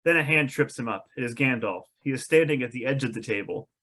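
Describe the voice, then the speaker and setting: A man's voice: medium pitch, lively intonation, fast, fairly bright tone. Man narrating script directions in a medium voice. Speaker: narrator reading stage directions. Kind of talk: scripted narration at a table read.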